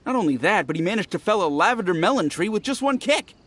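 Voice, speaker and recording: Game NPC: lively, gossipy villager, slightly high, with game music behind. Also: male voice, fast talker, noisy background. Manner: storytelling, animated. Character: chatty adult male villager, gossipy. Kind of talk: video game voice acting.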